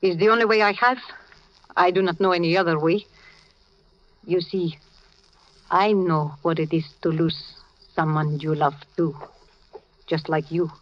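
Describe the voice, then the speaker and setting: An actress playing a tough but generous, grieving Latin American woman with a Spanish accent, brisk and knowing, in 1940s radio drama style. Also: on the low side, steady tone, close to an everyday voice. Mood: wistful. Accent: Spanish-accented English, Latin American. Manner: brisk, bossy, knowing, with simple foreign phrasing. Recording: dull, lo-fi old radio recording.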